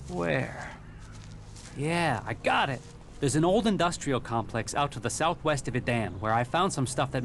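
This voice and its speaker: A man playing a blunt, irritable companion in a post-apocalyptic video game, with a gravelly voice and casual, colloquial delivery. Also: mid-range voice. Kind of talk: video game dialogue acting. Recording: faint ambient noise with insects.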